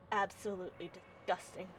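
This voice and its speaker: A woman's voice: a little high, mellow, a little hiss or hum. A woman livestreaming a game with casual commentary, wry and given to exaggerated reactions. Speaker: live streamer playing a game.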